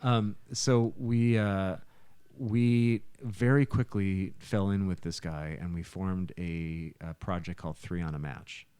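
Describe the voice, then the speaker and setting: A middle-aged man with a very deep voice, recorded very dry. He is a talkative musician telling stories casually as a guest, rambling and circling back as he goes. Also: fairly even intonation, slow pace, warm tone, smooth, no echo. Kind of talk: casual chat, storytelling.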